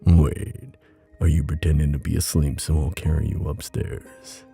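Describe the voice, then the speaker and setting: Male ASMR boyfriend storyteller: very low, gravelly, unhurried, intimate and soothing, soft music underneath. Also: little pitch movement. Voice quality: deep. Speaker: ASMR boyfriend roleplay performer.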